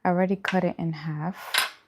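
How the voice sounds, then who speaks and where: Woman, slightly low voice, informal livestream host talking straight to her viewers, casual and chatty. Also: steady tone, moderate pace, noisy background. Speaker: mukbang vlogger and livestream host. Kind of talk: casual livestream chat while eating.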